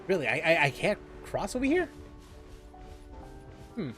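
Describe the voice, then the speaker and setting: Male game streamer, high and bouncy voice, chatty with chat, light music under him. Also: very animated, unhurried, fairly bright tone. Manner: thinks aloud while playing and answers chat questions. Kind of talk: live gameplay commentary.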